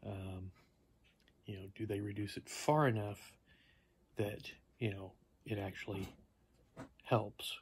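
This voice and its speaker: A tired man in his fifties rambling casually to camera, halting and low-key as he thinks aloud. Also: steady tone. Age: 50s. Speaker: vlogger. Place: small living room at home, indoors. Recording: noticeable background noise.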